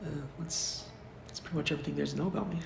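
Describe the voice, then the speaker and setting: A man's voice: fairly high pitch, rapid-fire, vocal fry, roomy sound, noisy background. A man in his twenties from San Antonio, a graduate student, introducing himself briefly and shyly. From San Antonio, Texas. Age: 20s.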